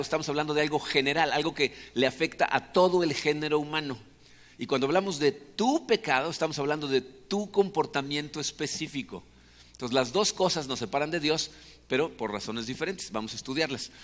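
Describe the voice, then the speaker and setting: A man's voice: medium pitch, a bit bright, long reverb tail. A Mexican man with a Mexican Spanish accent, preaching a sermon as a pastor in a conversational way, often checking in with the congregation. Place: church hall.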